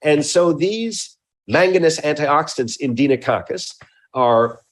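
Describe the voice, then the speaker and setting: A middle-aged or older man, a research scientist speaking as an interview guest, explains technical matters in a deliberate, precise way. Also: fairly high pitch, animated.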